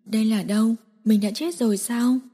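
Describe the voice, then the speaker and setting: A woman's voice: a little high, fairly even intonation, normal speed, dark tone, husky. A woman doing a sobbing, distraught young woman. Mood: weeping. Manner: tearful, crying, breaking into wails. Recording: long echoing reverb.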